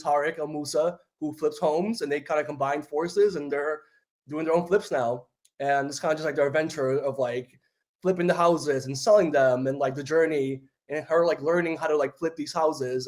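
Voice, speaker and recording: Chatty young New man on a call. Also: a little high. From New York City. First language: Spanish. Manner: relaxed, chatty, many "like" fillers. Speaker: guest. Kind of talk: casual interview chat. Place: home room, remote video call. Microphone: video call.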